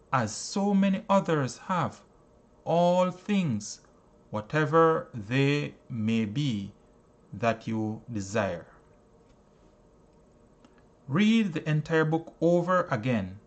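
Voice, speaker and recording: A man narrating a self-help book in a solemn, deliberate, instructive way. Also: medium pitch. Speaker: audiobook narrator.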